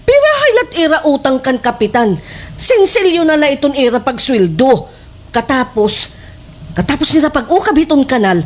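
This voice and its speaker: A woman with a Waray accent playing a gossipy village sidekick who eggs on her friend, with exaggerated, emphatic delivery in a slapstick radio drama. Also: high voice. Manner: animated, exaggerated, emphatic storytelling.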